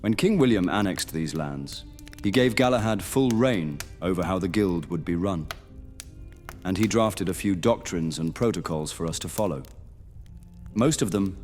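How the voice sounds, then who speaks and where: A man's voice: deep voice, a little mellow. A man playing a stern veteran monster hunter knight in a fantasy audio roleplay, speaking formally and solemnly. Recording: long hall reverb under music.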